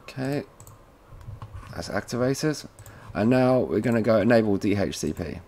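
Man giving a casual software walkthrough. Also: on the low side, expressive, moderate pace. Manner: informal, addresses viewers as guys. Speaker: tutorial presenter, installer. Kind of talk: casual step-by-step software tutorial.

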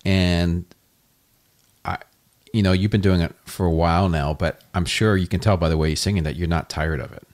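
A male podcast host with a deep, low voice chats casually with his guests. He talks in an upbeat, rambling way, full of fillers and praise. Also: steady tone, moderate pace, darkish tone. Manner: enthusiastic, complimentary, rambling. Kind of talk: casual interview chat.

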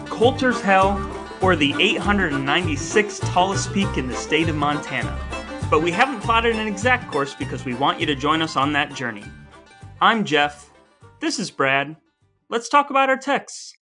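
A man co-hosting a podcast in a conversational, lightly scripted style, with a wry, mock-formal and wordy way of talking. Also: a little high. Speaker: podcast co-host.

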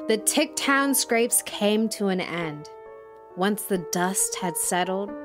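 A woman playing a solemn documentary podcast host, delivering scripted narration in a measured way. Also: medium pitch, lightly acted. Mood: reflective. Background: music.

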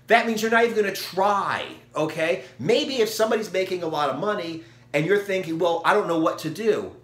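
A man's voice: fairly high pitch, a bit bright. Blunt man in his forties, echoey room. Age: 40s. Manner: emphatic, blunt, repeats "okay?". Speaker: fitness vlogger. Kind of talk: motivational talk to camera. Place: small room, plain grey backdrop.

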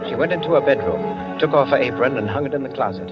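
An actor playing a wry literary storyteller, narrating an old-time radio mystery in a measured, precise, dramatic way. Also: male voice, mid-range voice, animated, natural voice. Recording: dull old radio, music underneath.

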